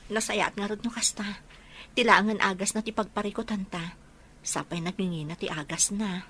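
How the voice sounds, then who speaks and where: A woman's voice: mid-range voice, fairly even intonation, moderate pace, bright, forceful tone, husky. An actress playing a worried but hopeful young wife and mother in an Ilocano radio drama, with an Ilocano accent.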